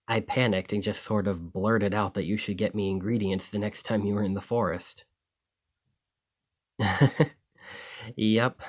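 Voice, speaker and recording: Low-voiced, earnest young-at-heart alchemist played by a man. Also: close to an everyday voice. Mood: sheepish. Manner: conversational, enthusiastic, hesitant when embarrassed. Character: friendly, earnest, bashful male alchemist shopkeeper, gushing and easily flustered. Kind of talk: ASMR roleplay acting addressed to the listener.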